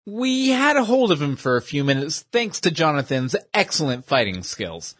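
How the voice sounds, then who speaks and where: A puffed-up, verbose portly gentleman who relishes every fancy word, as a male narrator voices him. His voice sits high and leaps about a lot. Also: sing-song, normal speed. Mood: nervous. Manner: grandiloquent, over-formal diction. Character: pompous portly man, verbose and fussy.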